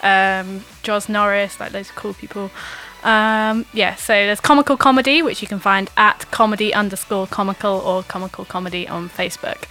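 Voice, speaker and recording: A woman comedian speaks with a bright voice at middle pitch. She chats casually and playfully as a podcast guest, with a wry edge and faint music behind. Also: steady tone, normal speed, low-quality recording.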